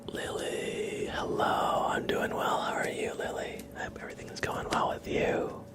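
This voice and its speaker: A man whispering gently as he hosts an ASMR live stream, chatting to viewers in a breathy voice and often repeating short phrases. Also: normal speed. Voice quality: breathy whisper with creaky edges.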